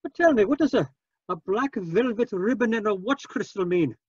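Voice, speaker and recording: Man playing a high-voiced husky old Scotsman. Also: expressive, normal speed, no room sound, lo-fi sound. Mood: intrigued. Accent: Scottish. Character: genuine old Scotsman who loves life. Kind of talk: 1940s radio detective drama acting.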